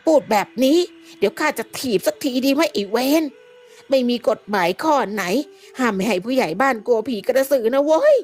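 A coarse, hot-tempered village headman, middle-aged and quick to bark and threaten, as a woman narrator puts him on. His voice is pitched high, loud and rough-edged, as in a told ghost story. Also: lively intonation. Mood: gruff annoyed. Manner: shouting, panicky, gruff.

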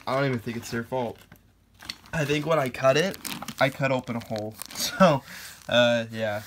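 A young man speaks in a hoarse, slightly raspy voice, rambling casually with plenty of "uh" and "um". The phone recording carries a clear layer of noise and the crinkle of plastic bags. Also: medium pitch. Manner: rambling, many fillers, off-hand. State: hoarse from a sore throat. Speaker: Lego hobby vlogger. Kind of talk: casual unboxing chat. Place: indoors, room with wooden floor. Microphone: phone camera held over the floor. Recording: noticeable background noise, package crinkling.

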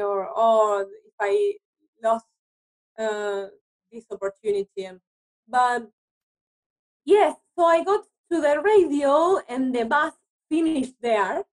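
High-voiced woman telling a story. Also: rough recording. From Argentina. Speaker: podcast guest, actress. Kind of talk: conversational storytelling in an interview.

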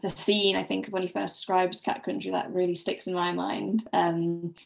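Slightly creaky-voiced woman chatting about books. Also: on the low side, level tone, normal speed. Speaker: podcast guest. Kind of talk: casual literary discussion.

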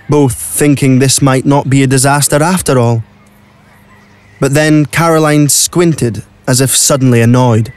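A man's voice: medium pitch, a little mellow, lightly acted. A man narrating a scripted audio drama as the storyteller.

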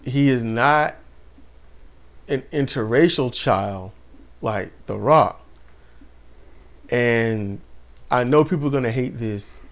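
A man co-hosting a casual wrestling talk show, animated and laughing often, speaking to viewers as y'all. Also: mid-range voice, slow pace, pauses often. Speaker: podcast co-host. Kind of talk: casual chat about wrestling.

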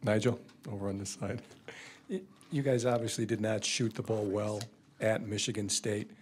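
A man's voice: lowish voice, steady tone, normal speed, slight background noise. A man, a sports reporter, asking long, wordy questions at a press conference.

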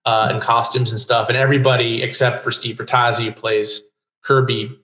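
A middle-aged man speaking casually as an interview guest, with halting, thoughtful phrasing. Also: medium pitch, level tone, sharp tone. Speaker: guest writer. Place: book-lined room at home, indoors. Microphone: shared desktop microphone on video call. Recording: roomy home recording.

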